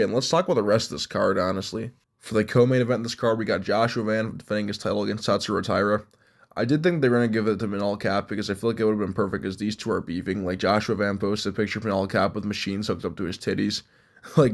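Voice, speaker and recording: A young man hosting an MMA reaction show, congested from allergies, talking in a rambling, opinionated way. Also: on the low side. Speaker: MMA YouTube host. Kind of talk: casual fight reaction and predictions.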